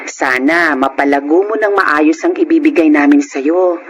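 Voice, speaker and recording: A woman's voice: deep voice, unhurried, slightly rough, muffled recording. A woman acting a concerned, helpful social agency worker in a Filipino radio drama. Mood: kindly, encouraging.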